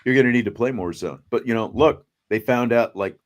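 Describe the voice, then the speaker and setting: A man's voice: medium pitch, brisk, dull audio. An American man speaking as a sports talk show host, discussing teams in a casual, conversational style.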